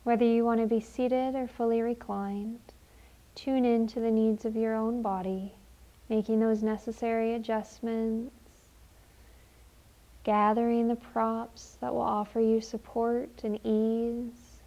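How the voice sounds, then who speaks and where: A woman leading a guided meditation in a gentle, unhurried, soothing manner with long pauses. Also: on the high side, level tone, lo-fi sound.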